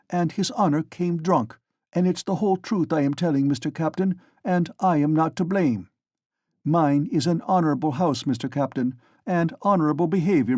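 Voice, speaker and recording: A man's voice: fairly high pitch, a little mellow, no echo, studio quality, pitched above the performer's own voice, lightly acted. A man putting on a chattering German landlady. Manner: rapid pattering, repeated "Mister Captain". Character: flustered German landlady, garrulous and defensive.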